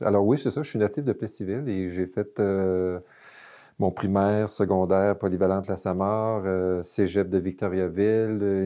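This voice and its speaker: A man's voice: sits low, mellow. A man in his late fifties with a Québécois French accent, a guest in a casual interview, talking with many fillers and restarts. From Quebec.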